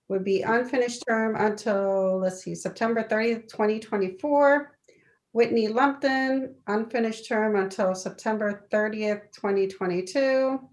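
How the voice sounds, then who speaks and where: A woman council member reading a formal committee report aloud, halting now and then to check and correct details. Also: mid-range voice, a little mellow. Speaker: city council member presenting committee appointments. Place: local government meeting.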